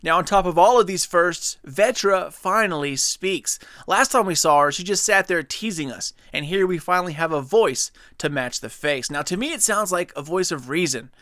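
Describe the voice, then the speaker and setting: A man's voice: high voice, expressive, moderate pace, few pauses, sharp tone, dry recording, studio quality. A young man hosting a gaming channel, chatting enthusiastically and speculating as he breaks down a trailer. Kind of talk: casual video commentary.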